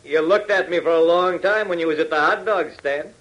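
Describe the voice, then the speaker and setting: An actor playing a mocking, menacing tough guard who talks in slangy taunts, in 1940s radio style. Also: male voice, on the high side, a little fast, fairly bright tone, highs cut off, lightly acted. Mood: smug, sneering. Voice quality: slangy tough-guy speech. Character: sneering young-to-middle-aged male thug guard, taunting wiseguy. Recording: old 1940s radio recording.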